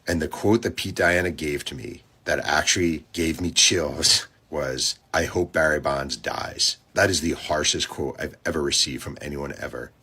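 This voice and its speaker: A man's voice: a bass voice, normal speed, hardly any pauses, sharp tone, husky. An American man, a sportswriter, telling an anecdote in a casual, direct storytelling style. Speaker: sportswriter and biographer.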